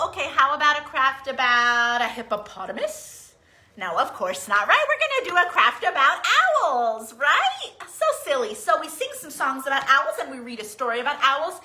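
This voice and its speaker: A woman's voice: high-pitched, bright, forceful tone. A woman leading an interactive children's storytime, coaxing small children along with questions and sing-song encouragement. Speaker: children's storytime host teaching songs and crafts. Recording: echoey room.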